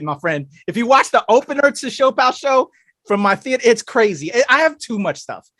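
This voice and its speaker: Hype-man podcast host, high voice, super dry close sound, chatty and loud on a video call. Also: no echo. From United States. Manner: hype-man energy, big shout-outs, loose and chatty. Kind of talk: hyped podcast interview over video call. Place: home room full of toys and collectibles.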